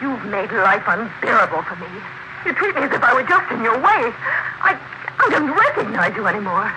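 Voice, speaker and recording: An actress playing a distressed, nagging, reproachful wife in a 1940s radio drama, emotional and melodramatic. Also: female voice, on the high side, big pitch swings, brisk. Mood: bitter reproach. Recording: very noisy vintage 1940s radio recording.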